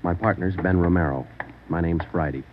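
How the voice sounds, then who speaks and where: An actor playing a stoic police sergeant in an old radio drama, speaking and narrating in a clipped deadpan. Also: male voice, low-pitched, brisk, natural voice. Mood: matter-of-fact. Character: stoic, businesslike male police detective sergeant. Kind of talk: 1940s radio police drama, terse first-person narration. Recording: muffled, noisy old radio recording.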